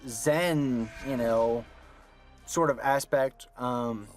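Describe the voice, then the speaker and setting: A man's voice: mid-range voice, extremely slow, soft background music. A man hosting a commentary track, asking guests questions and musing at length with lots of fillers. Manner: thoughtful, rambling, many fillers. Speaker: commentary host. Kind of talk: commentary chat.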